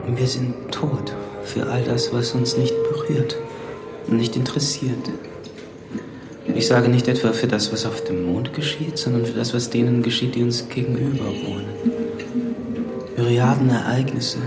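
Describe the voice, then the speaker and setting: An actor playing a bleak, coolly certain philosopher, speaking in measured aphorisms in a literary radio drama. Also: male voice, mid-range voice, fairly even intonation, close to an everyday voice. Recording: echoey voice under loud music.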